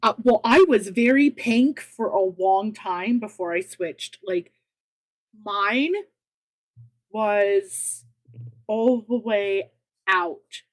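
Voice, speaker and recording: A woman guest chatting eagerly about crochet during a relaxed livestream. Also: medium pitch, extremely slow, pauses often, no room sound. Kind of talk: casual crochet chat on a livestream.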